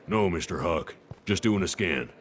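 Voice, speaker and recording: Male game guard, very low voice, formal, dutiful, slight background noise. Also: expressive, normal speed. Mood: dutiful, wary. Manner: formal, deferential. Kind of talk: video game character acting.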